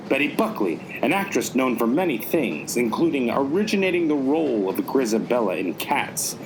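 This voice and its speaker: A man as an expressive noir detective narrator. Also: mid-range voice, close to an everyday voice. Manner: mock-serious storytelling. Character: wry male detective narrating his own case. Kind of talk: scripted noir parody narration. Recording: clear background noise.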